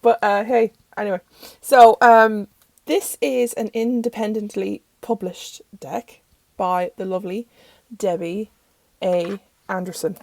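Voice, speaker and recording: A young Irish woman with a croaky voice from recent illness, chatting rambly through a casual review in her bedroom. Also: mid-range voice, fairly even intonation, a little slow, a little mellow, dry recording. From Ireland. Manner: chatty and rambling, self-interrupting. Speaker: tarot channel host. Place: bedroom at home.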